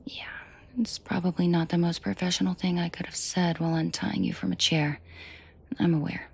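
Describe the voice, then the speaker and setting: A woman playing a menacing, protective and flirtatious mafia boss in an intimate audio roleplay, with a breathy, husky voice and dry sarcasm. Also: level tone, darkish tone, heavily acted. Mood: soft, flirtatious, protective. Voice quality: breathy, husky low voice with vocal fry. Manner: slow, deliberate, dryly sarcastic, often dropping to a murmur. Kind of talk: intimate audio roleplay acting addressed to the listener.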